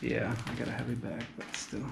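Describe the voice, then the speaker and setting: A man's voice: mid-range voice, little pitch movement, brisk, vocal fry. A young man narrating casually as he walks through an abandoned building, pointing things out as he goes. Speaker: urban explorer host. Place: large abandoned building, indoors, echoey. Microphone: body-worn action camera. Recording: echoey empty rooms, noisy background.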